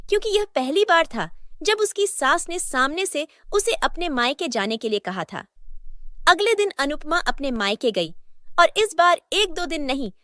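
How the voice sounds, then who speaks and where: A woman narrates a moral story for listeners, steady and clear. Her voice is high, bright and lively. The recording is close and dry. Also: moderate pace, dry recording.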